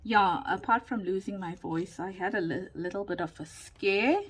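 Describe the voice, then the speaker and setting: A woman recovering from a lost voice and a sore throat, chatting casually through a crafting tutorial with many pauses and ums, sometimes dropping to a whisper. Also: mid-range voice, breathy edge. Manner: slow, chatty, with frequent ums and laughs, drifting into whispers. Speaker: craft vlogger.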